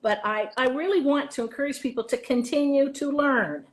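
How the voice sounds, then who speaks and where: Higher-pitched American woman, chatty and anecdotal. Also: fairly quick. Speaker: interview guest, theater teacher and author. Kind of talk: anecdotal conversational talk.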